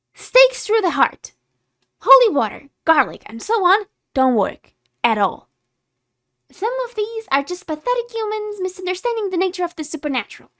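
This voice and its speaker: A woman's voice: high voice, fairly bright tone, heavily acted. A woman playing a haughty, secretly caring tsundere vampire in a scripted ASMR roleplay, condescending and easily exasperated. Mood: lecturing. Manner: condescending, exasperated, flustered scolding with sighs.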